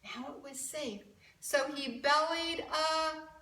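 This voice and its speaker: Children's storyteller, female, bright voice and deliberate pace, recorded at a distance. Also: high voice. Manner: expressive, asks the young viewers questions. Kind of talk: animated read-aloud for children. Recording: roomy, from a distant mic.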